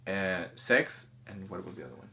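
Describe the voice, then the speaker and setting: A man co-hosting a casual review conversation, speaking haltingly and rephrasing as he goes. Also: low-pitched, creaky, sharp tone. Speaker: co-host of a movie review. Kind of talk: casual conversational discussion of film and spirituality.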